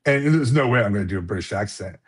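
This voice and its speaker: A man's voice: lowish voice, animated, quick pace, breathy edge. A man who writes books, chatting casually as a guest on a live stream, rambling with many fillers and restarts and a slight lisp. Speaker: author guest.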